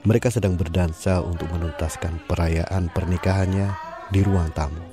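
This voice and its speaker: Male storyteller for an audio drama, deep and measured, music under the voice. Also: steady tone. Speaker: narrator. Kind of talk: scripted drama narration.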